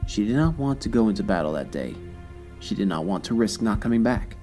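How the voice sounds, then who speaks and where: A narrator reading dramatic fan fiction in a solemn, measured way with deliberate phrasing. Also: low voice, lively intonation. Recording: loud music under the voice. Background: loud music.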